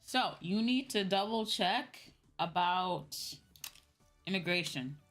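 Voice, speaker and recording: A woman's voice: lowish voice, lively intonation, slow, a bit bright. A woman streamer chatting casually and giving advice in a conversational way, often repeating herself for emphasis. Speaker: streamer host. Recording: background music. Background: music.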